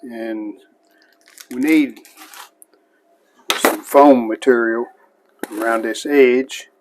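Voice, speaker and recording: A man's voice: mid-range voice, fairly even intonation, very slow, background noise. A man casually narrating his own hands-on restoration work as a hobbyist host, in a plain-spoken, show-and-tell way.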